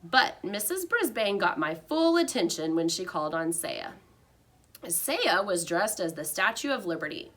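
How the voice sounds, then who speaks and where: Very animated woman reading a children's book. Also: fairly low pitch, unhurried. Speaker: storybook reader.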